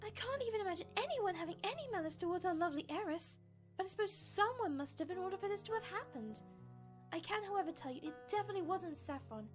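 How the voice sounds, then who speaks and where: A woman playing a gentle, grieving maid pony in a cartoon, dutiful and guilt-ridden, speaking in a sorrowful, halting way. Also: extremely high pitch, animated. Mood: sad, helpful. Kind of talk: animated cartoon acting. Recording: faint music behind the voice.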